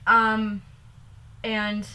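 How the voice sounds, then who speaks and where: Giggly bright-voiced young woman. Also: medium pitch, completely flat delivery, very slow, a little hiss or hum. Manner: giggly, rambling, repeats herself. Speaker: vlogger. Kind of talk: casual couple Q&A vlog.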